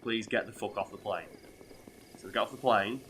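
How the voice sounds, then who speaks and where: Hoarse man, sweary and bright. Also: on the low side, brisk, a little hiss or hum. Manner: swears freely, bursts into loud outbursts. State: ill, hoarse. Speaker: co-host playing a video game. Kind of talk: casual gaming chat with storytelling.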